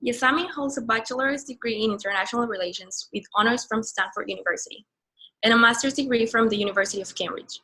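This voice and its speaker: A woman reads a guest's introduction for a webinar. Her voice is bright and fairly high, and her reading is careful but uneven, stumbling and restarting on some words. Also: fast talker, low-quality recording.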